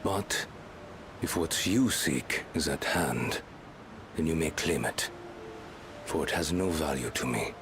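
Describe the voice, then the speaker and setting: A man acting a cold, imperious reptilian warlord in a video game, commanding and detached in his measured speech. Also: very deep voice, animated, noisy background, exaggerated voice.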